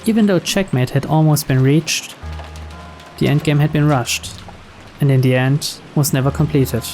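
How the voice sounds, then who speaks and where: Man, fairly high voice, scripted visual novel narration, reading aloud, game music behind. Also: normal speed, darkish tone. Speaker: video game reader. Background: game background music.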